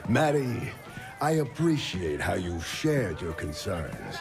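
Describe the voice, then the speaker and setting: An actor playing a menacing hulking gang boss who talks softly and threateningly, with a deep gravelly voice. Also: male voice, sing-song, takes it slowly, music in the background, exaggerated voice. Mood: mock friendly. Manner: soft, silky, threatening.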